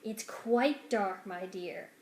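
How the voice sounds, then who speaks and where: A woman's voice: medium pitch, slow, lightly acted. A woman voicing a scheming, fussy male rat in a children's storybook, with a smug, mock-polite and formal manner. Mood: hushed impatience. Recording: roomy indoor echo.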